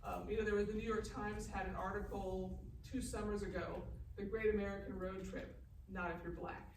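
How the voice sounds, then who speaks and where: A woman's voice: medium pitch, level tone, normal speed. Woman on a panel, echoey and distant. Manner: conversational, projects to the room. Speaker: writer answering audience questions. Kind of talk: live panel discussion. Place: large indoor hall at a festival event. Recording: echoey, distant, noisy room recording.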